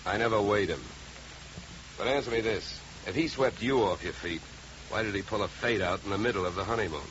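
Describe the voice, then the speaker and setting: Man acting a deep-voiced, wry hard-boiled radio detective. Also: brisk, fairly bright tone, slight background noise, natural voice. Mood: dry, probing. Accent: American. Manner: sardonic, wisecracking. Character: wry, hard-boiled male insurance investigator. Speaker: lead actor and narrator. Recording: muffled old radio recording, music behind.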